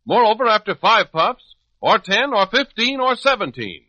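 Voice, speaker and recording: A male 1950s radio announcer with a bright, punchy voice. He sells in a polished, emphatic way with lively intonation, slightly muffled by the old recording. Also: high voice, moderate pace. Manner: emphatic, polished sales delivery.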